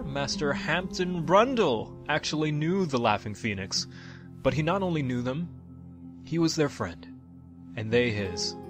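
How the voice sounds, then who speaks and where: A man's voice: medium pitch, lightly acted. A man playing a theatrical fantasy storyteller over music. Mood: grand welcome. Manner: measured, showman-like, formal phrasing. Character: theatrical male troupe leader and storyteller, genial, grandiose. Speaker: narrator. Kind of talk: dramatic fantasy storytelling to an audience. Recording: music under the voice. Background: background music.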